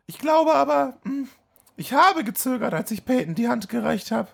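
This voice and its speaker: A troubled young woman, played by a man in a comic audio play, pitched high and rough-edged. She sounds dramatic and sarcastic, questioning everything with lively swings. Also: normal speed, exaggerated voice. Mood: guilt-ridden.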